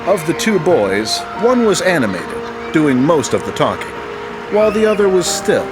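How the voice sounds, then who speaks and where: A man's voice: on the high side, sing-song, normal speed, over music. A man narrating a scripted audio drama as the storyteller.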